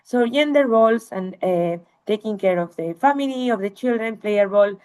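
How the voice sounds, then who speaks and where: A woman's voice: fairly high pitch, animated, a little fast, a little mellow, clean tone. A woman researcher giving an academic webinar lecture, explaining slides to listeners.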